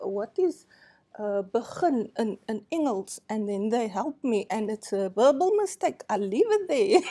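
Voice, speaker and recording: Slow-spoken woman lecturer. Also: on the high side, warm tone. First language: Afrikaans. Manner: unhurried, candid, self-deprecating, laughs often. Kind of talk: presentation to colleagues.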